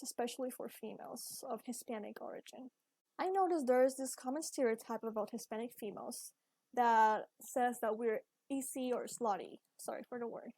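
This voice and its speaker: A woman's voice: a little high, mellow, no echo. Young woman, Ecuadorian Spanish accent, dry. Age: young adult. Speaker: university student. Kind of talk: scripted personal video essay.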